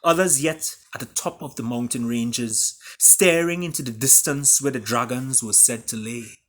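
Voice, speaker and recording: Guy storyteller reading his fable, bright punchy voice, big dramatic pauses. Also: medium pitch. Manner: dramatic pauses and emphatic delivery. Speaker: author reading his own book.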